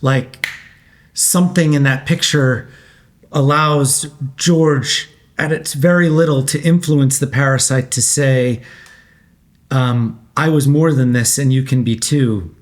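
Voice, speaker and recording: A man, an actor, reflecting casually on a scene in a halting way, searching for his words. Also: medium pitch. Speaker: actor in a table read.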